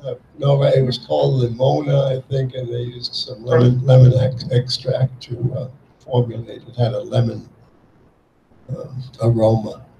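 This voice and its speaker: An elderly man in his eighties gives a halting, reflective public talk as a guest speaker, with many pauses and restarts. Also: mid-range voice, flat delivery, gravelly, warm tone, muffled recording, a little hiss or hum. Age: 80s. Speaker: Holocaust survivor guest speaker. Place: event hall with audience. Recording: echoey hall, mic from a distance.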